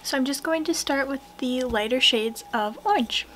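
A young woman doing a soft-spoken ASMR roleplay as a portrait painter, hushed and gentle, at times slipping into a whisper. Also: sits high, normal speed, slight background noise. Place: small wood-panelled room indoors.

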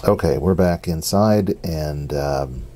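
A middle-aged man, giving a casual hands-on demonstration in a halting, unscripted way. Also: very deep voice, warm tone. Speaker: radio hobbyist presenter. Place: basement radio workshop, indoors. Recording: noisy home basement recording.

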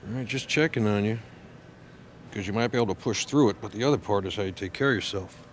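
A man's voice: lowish voice, fast, slight background noise, close to an everyday voice. A man playing a middle-aged athletic trainer in a video game, with an American accent and a firm, matter-of-fact coaching manner. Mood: concerned. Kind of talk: naturalistic video game cutscene acting.

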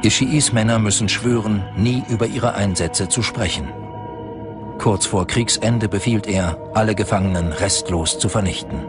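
A man's voice: fairly low pitch, moderate pace, music in the background. A man reading scripted narration for a documentary.

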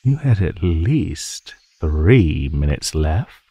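A man voicing a teasing, menacing male naga in an ASMR roleplay, speaking in a mocking, condescending drawl with pauses. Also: extremely low pitch, sing-song, mellow, breathy edge, dry recording, heavily acted. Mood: bored, taunting. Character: teasing, menacing male naga predator toying with captive prey.

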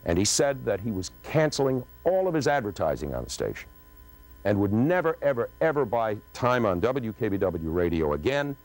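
A middle-aged man with a very low voice tells a story from his radio career. His phrasing is polished, deliberate and dramatic, like a practised broadcaster on stage. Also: sing-song, a little mellow, rough recording. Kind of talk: polished anecdotal storytelling to an audience. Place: on a stage in front of a curtain.

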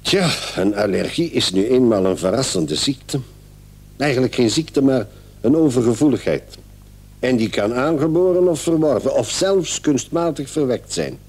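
An actor playing a brisk, authoritative doctor who is patronising and reassuring, in radio drama style. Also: male voice, mid-range voice, lively intonation, darkish tone, husky. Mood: reassuring, dismissive. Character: brisk, paternal male doctor, dismissive yet reassuring.

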